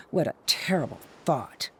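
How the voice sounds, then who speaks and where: A woman's voice: deep voice, very animated, slow pace, a little hiss or hum, heavily acted. A woman playing a haughty, bitter young researcher in a video game, sarcastic and dismissive. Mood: scornful.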